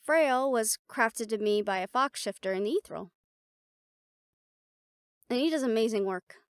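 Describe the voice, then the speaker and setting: A woman's voice: on the high side, lively intonation, moderate pace, clean tone, dry recording, studio quality, close to an everyday voice. A woman playing a confident, playful siren-banshee warrior in a conversational audio roleplay, talking intimately to the listener. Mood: proud, amused.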